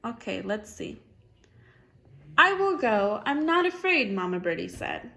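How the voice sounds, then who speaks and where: A woman's voice: fairly high pitch, sing-song, measured pace, creaky, a bit bright. A woman reading a picture book aloud to a child, encouraging and often echoing answers back as questions. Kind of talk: dialogic storybook reading with questions.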